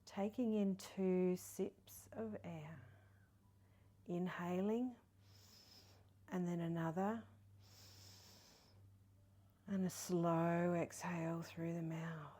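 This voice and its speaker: A woman leading a guided meditation in a soft, breathy, partly whispery voice, soothing and gentle with long pauses. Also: fairly low pitch, steady tone. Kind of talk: guided body scan meditation.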